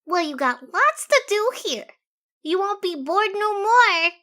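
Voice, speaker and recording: Audiobook role: an eager, affectionate unicorn child, very high-pitched and bright. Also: female voice, sing-song, unhurried, exaggerated voice. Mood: cheerful, excited. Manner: childish, eager, simplified grammar. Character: small cheerful, affectionate unicorn filly child. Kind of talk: audiobook character voice.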